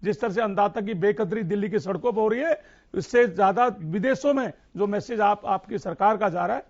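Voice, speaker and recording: A man with a high voice argues passionately as a farmer leader on a debate panel. He is insistent and repeats his phrases for emphasis, and the audio is dull and lo-fi. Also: fairly quick, darkish tone. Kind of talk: impassioned political debate.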